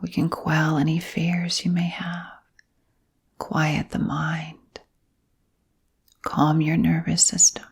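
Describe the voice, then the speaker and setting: A woman hypnotherapist guiding listeners into sleep hypnosis in a soft, breathy whisper, with soothing phrasing and gentle pauses. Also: sits low, level tone. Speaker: hypnotherapist and channel host.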